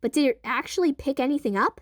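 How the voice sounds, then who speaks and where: A woman's voice: sits high, lively intonation, fast talker, no echo. A woman playing a practical, dry-witted young woman in an audio drama. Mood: dry, curious.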